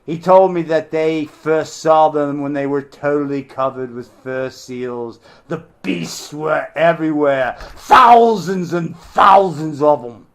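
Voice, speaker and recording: Man acting a salty, slow-talking old-time sailor. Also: fairly high pitch, fairly bright tone. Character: unshaven old-time sailor, salty and grimly relishing his tales. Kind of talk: novel read aloud.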